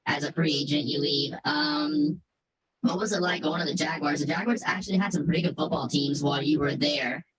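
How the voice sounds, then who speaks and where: A man with a robotic voice effect asking halting, wordy questions as a sports interviewer. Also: fairly high pitch, animated, a bit bright, a little hiss or hum, low-quality recording. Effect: robotic voice modulation. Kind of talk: conversational interview.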